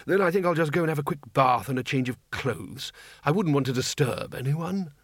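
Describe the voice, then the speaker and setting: A man's voice: fairly high pitch, brisk, slightly rough, dry recording, studio quality. A man voicing a mild, fretful undead male wizard who speaks politely and hesitantly.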